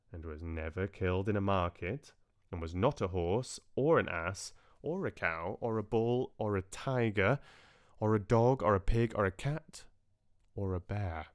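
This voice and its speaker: Low-voiced man narrating, measured and expressive. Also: no room sound, clean studio sound. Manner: expressive, dramatic storytelling. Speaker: audiobook narrator. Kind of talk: scripted narration of classic literature.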